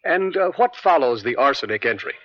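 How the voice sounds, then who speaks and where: Guy acting a courtroom prosecutor in a radio play, crisp formal questions, animated pitch, kinda lo-fi sound. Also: brisk, natural voice. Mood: methodical, businesslike. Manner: precise, formal, controlling. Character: brisk, methodical male prosecuting attorney in 1890s courtroom. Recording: dull vintage radio broadcast sound.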